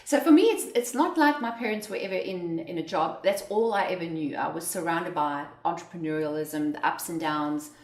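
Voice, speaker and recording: A South African woman, an entrepreneur and interview guest, telling her story in an expressive conversational way and laughing often. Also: on the low side, brisk, few pauses, reverberant. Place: indoors at a home.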